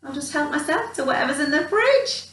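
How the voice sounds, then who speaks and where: A woman's voice: high voice, lively intonation, fairly quick, fairly bright tone, voice pushed up. A woman voicing a cheeky, impatient but kind female mouse in a children's picture book, with a perky delivery. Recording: roomy echo, distant microphone.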